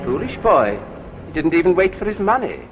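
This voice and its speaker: Suave sinister man, wildly swooping pitch. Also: sing-song, moderate pace. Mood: smugly dismissive. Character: suave, sinister male dealer in fateful stories. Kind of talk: 1950s anthology drama acting. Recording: dull old 1950s broadcast with music.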